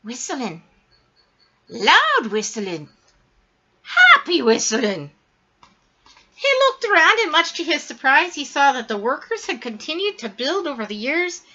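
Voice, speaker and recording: High, animated woman reading a storybook. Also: dull audio. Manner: animated, dramatic storytelling with drawn-out emphasis. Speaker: storybook reader. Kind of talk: expressive read-aloud of a children's story.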